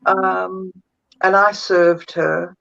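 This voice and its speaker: A woman with a South African accent, a guest energy healer chatting thoughtfully in an interview and often pausing to find her words. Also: fairly low pitch, little pitch movement, vocal fry, fairly bright tone, lo-fi sound. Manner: thoughtful, searches for words, many fillers. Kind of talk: casual interview chat.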